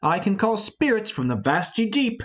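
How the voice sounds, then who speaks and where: Man acting a proud boastful lord, grandiose, declamatory, animated, husky, lo-fi Shakespeare reading. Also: high voice, moderate pace, pitched above the performer's own voice, exaggerated voice. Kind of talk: amateur Shakespearean play reading.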